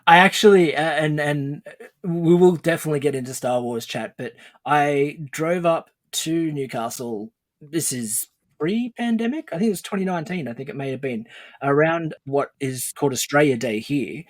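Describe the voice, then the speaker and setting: Upbeat podcast host, dry close sound. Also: male voice, a little high, moderate pace, no echo. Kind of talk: casual podcast interview.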